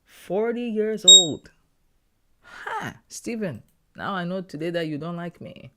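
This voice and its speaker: A man's voice: high voice, expressive, takes it slowly, sharp tone, slight background noise. A man in his thirties chatting casually with viewers on a live trading stream, slangy and laughing often. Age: 30s. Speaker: livestreaming forex trader.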